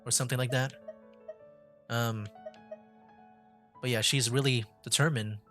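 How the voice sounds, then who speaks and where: A man's voice: mid-range voice, fairly even intonation, a little slow, fairly bright tone, soft background music. A man giving casual gameplay commentary as a streamer, thinking aloud in a hesitant way. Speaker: game streamer, virtual YouTuber.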